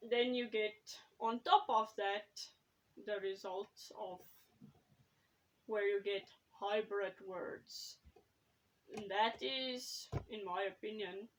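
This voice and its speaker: Woman, unhurried pace, casual vlog talk, hesitant, thinks aloud. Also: medium pitch, fairly even intonation. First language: Afrikaans. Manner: consults her notes while talking. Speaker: writer and vlogger.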